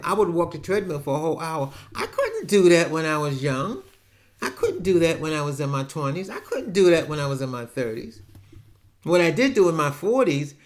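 A man talks in a loose, rambling way, often restarting his sentences. His voice sits a little high for a man. He speaks frankly, as if chatting with a friend. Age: 70s. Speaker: podcast host. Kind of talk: casual personal monologue.

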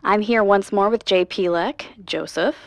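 A woman's voice: mid-range voice, a little slow, no room sound, lightly acted. A woman playing an upbeat, persistent young podcast host, chatty and optimistic, in an audio drama.

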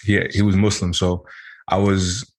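Slangy young podcast host, low voice. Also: male voice, little pitch movement, normal speed, darkish tone. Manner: loose and slangy, rambling with fillers. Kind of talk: casual chat.

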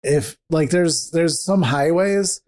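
Mellow-toned co-host, rambles and jokes through a casual book chat. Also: mid-range voice, takes it slowly. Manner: rambling, joking, many fillers and restarts. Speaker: podcast co-host.

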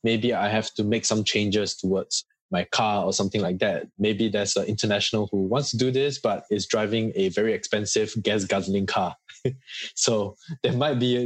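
A man's voice: fairly low pitch, husky, no echo. A man from Singapore with a Singaporean accent, giving earnest, explanatory answers as a guest in a conversational interview.

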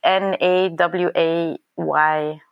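A woman's voice: fairly low pitch, steady tone, extremely slow, gravelly, smooth, lo-fi sound. A woman being interviewed on a podcast, earnest and grateful, speaking with hesitant fillers. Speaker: podcast guest, dance researcher. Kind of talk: conversational interview.

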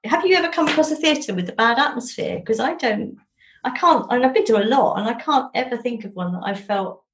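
A woman chatting casually as an interview guest, telling anecdotes in an animated, whimsical way. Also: a little high, a little fast, reverberant. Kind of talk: jolly casual chat and anecdotes.

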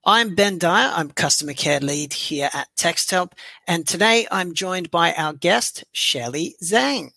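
A man hosts a podcast interview with a gravelly, creaky voice and a bright, forward tone. The recording is close and dry. He keeps things friendly, with plenty of ums and small restarts. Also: on the high side, animated, measured pace, no room sound. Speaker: podcast host.

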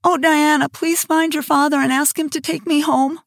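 A woman's voice: sits high, fairly even intonation, normal speed, creaky, dry recording, clean studio sound, voice pushed up. A woman voicing a dramatic, imaginative young girl who speaks in earnest, theatrical phrases. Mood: pained.

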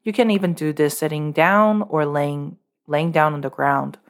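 A woman leading a guided muscle relaxation meditation, giving calm, unscripted instructions with small fillers. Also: low-pitched, normal speed.

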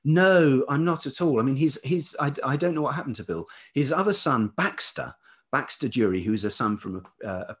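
A man's voice: mid-range voice, expressive, moderate pace, breathy edge. A middle-aged man with a velvety voice telling anecdotes as an interview guest, hesitantly piecing his thoughts together. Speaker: podcast guest, writer and producer. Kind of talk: reflective anecdotal interview talk.

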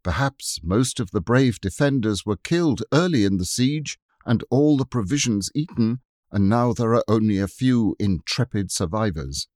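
A man reading the part of an eager, clever young boy in an audiobook, raising his voice for the boy's excited explanations. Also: lowish voice, moderate pace, no echo, studio quality, natural voice. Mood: dramatic.

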